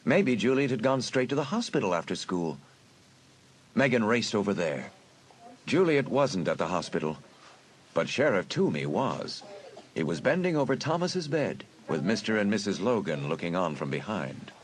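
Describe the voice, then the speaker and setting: A man narrating a dramatised audiobook in an expressive storytelling manner. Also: fairly low pitch, moderate pace, a little hiss or hum.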